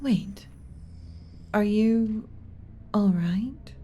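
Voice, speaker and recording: A woman playing a gentle, submissive alien servant in an ASMR roleplay, speaking softly and breathily with hesitant, deferential pauses and some whispering. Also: mid-range voice. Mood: gentle, cautious. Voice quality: soft, breathy with whispered stretches. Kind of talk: ASMR roleplay, soft intimate delivery.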